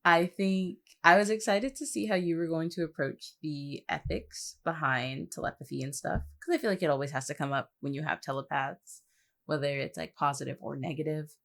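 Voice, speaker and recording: A woman's voice: sits low, steady tone, moderate pace, creaky, a bit bright. A woman chatting casually as a podcast co-host, with a dry, teasing manner. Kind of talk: casual chat.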